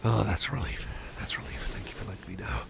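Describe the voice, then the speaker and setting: A man plays a caring young cyborg friend in a soft ASMR roleplay, speaking low with a gravelly fry and a rambling, hesitant warmth. He slips into whispers now and then. Faint noise hums under the recording. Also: expressive, quick pace, heavily acted. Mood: soothing. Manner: soft, hesitant and rambling, with many fillers and restarts; drops to soft whispers at times. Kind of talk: ASMR roleplay, personal attention.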